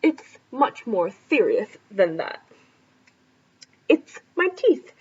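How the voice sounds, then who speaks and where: A woman's voice: fairly high pitch, very animated, slow pace, husky, heavily acted. A woman voicing a dramatic, grumpy old grandfather who talks funny because his teeth are missing. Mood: indignant. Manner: mumbling toothless speech. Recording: lo-fi recording.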